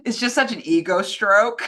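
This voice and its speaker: Woman, low-pitched, bright forceful tone, informal, opinionated, rambling conversational chat. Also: lively intonation, unhurried. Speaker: co-host answering fan questions. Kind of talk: casual film and old-time radio chat.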